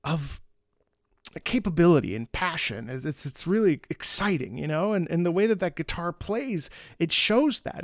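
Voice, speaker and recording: A man's voice: on the high side, vocal fry, dry recording, clean studio sound. An enthusiastic man commentating on video game music in a live stream reaction, breaking into sung syllables to imitate rhythms. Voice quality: trained opera singer's voice. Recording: music behind the voice.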